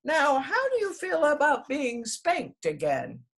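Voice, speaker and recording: A woman voicing a wise, teasing rock snake in a children's storybook, speaking in a measured, instructive way. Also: sits high, wildly swooping pitch, fairly bright tone, pitched above the performer's own voice, full character voice. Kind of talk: children's storybook read aloud.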